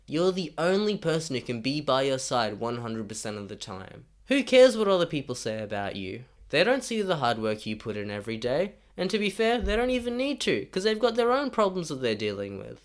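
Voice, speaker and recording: A young man from Australia with an Australian accent, narrating his own story in a wry, self-deprecating way, pushing his pitch up and down for jokes. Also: big pitch swings, brisk, hardly any pauses. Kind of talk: scripted comic narration.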